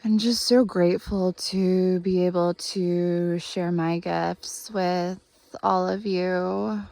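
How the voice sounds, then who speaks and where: A woman in her thirties guides a meditation with a heavily creaky voice. She speaks extremely slowly, leaving long pauses, and keeps a gentle, earnest tone with little rise and fall in pitch. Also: steady tone, no echo. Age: 30s. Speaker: guided meditation host, healer. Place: outdoors in the woods by a spring.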